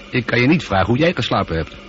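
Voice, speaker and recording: A man's voice: medium pitch, natural voice. A man playing a wry space pilot in a science fiction radio drama, talking in a dry, informal, conversational way. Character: male space pilot, wry, teasing, easily irritated but apologetic. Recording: dull, lightly noisy old radio recording.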